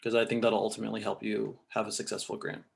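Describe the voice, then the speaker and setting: Gravelly-voiced man on a panel. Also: lowish voice, fast talker. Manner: relaxed, thinks aloud with restarts. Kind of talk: casual panel talk.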